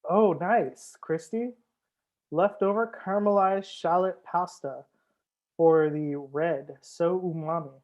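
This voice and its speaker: Man, high-pitched, sommelier host, relaxed live-stream banter, jokey, casual swearing. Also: takes it slowly, highs cut off. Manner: laid-back, joking, swears casually; chats with viewers, answers comments.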